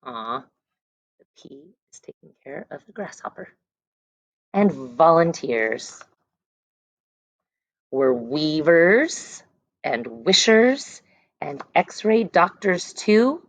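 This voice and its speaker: Lady teacher doing a read-aloud for kids, slow, stresses the words and letter sounds, pretty deep voice. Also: lively intonation, no echo, poor audio. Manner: deliberate, drawn-out reading, emphasising words and letter sounds. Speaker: elementary school teacher reading aloud to class. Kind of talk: picture book read-aloud for young children with explanatory asides.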